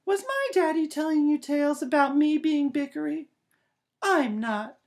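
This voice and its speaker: A man voicing a cheeky, defiant little girl doll with a childish, squeaky voice. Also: animated, unhurried, full character voice. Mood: cheeky, defensive.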